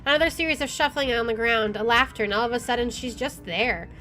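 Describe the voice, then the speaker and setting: Bright, expressive woman game streamer, faint music. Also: on the high side, fast. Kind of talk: dramatic reading aloud and let's play commentary.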